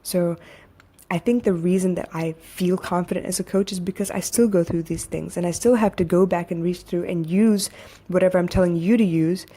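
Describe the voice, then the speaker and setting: A young woman hosts her own podcast as a life coach, talking straight to listeners in a frank, swearing motivational monologue. Her voice is soothing and mellow, sitting a little low. She sounds like she is chatting casually rather than reading a script. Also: fairly even intonation, normal speed, breathy edge.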